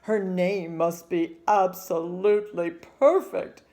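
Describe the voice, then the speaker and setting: A woman's voice: fairly low pitch, fairly even intonation, takes it slowly, mellow. A woman voicing a proud, adoring father in a children's storybook read-aloud.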